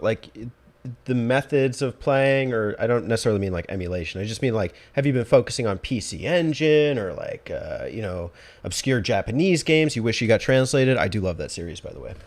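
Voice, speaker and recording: A man's voice: medium pitch, expressive, fairly quick. A man hosting a casual interview, informal and encouraging as he thinks his questions through aloud. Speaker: podcast host.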